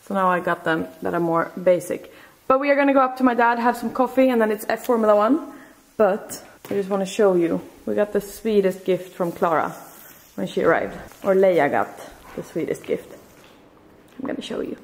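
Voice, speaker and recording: A woman's voice: medium pitch, lively intonation, normal speed, rough recording. A young woman with a Swedish accent chatting casually to her handheld camera as a vlogger. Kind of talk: casual vlog chat.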